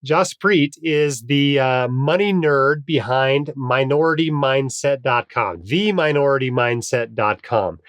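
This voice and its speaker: Man, podcast host, mid pitch, slightly nasal from a cold, upbeat chatty delivery, dry close sound. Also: a little slow, hardly any pauses, a bit bright, dry recording. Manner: upbeat, chatty, frequent "uh" fillers. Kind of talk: casual podcast monologue and interview intro.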